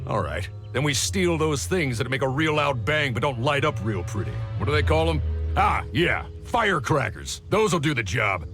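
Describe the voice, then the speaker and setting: An actor playing a cocky, crude bandit boss who talks in slang and swaggers, in a game cutscene. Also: male voice, medium pitch, very animated, normal speed, a bit bright, soft background music. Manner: slangy, swaggering, stammers when caught.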